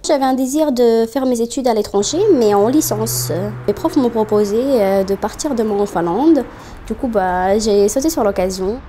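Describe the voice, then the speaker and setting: A woman in her twenties, originally from Bosnia, talking about her internship in an interview, earnest and pausing often with fillers. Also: fairly high pitch, warm tone, a little hiss or hum. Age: 20s. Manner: earnest, with frequent pauses and fillers. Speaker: student intern. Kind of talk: documentary interview.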